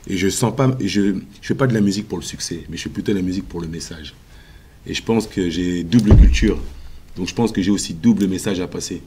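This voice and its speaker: A man answering questions as a musician guest in a TV interview, confident and emphatic, with frequent filler pauses. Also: sits low, warm tone, a little hiss or hum. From Congo.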